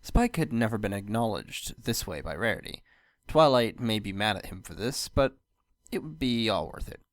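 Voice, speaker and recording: Lively, animated story narrator, dry sound. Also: mid-range voice, moderate pace, no echo. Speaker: fan fiction reader, narrator.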